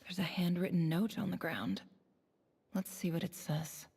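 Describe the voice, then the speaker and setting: Cool, creaky, hushed observer. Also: female voice, fairly low pitch, steady tone, moderate pace, big-room sound, full character voice. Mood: detached, grim. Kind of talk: game voice acting, hushed inner narration.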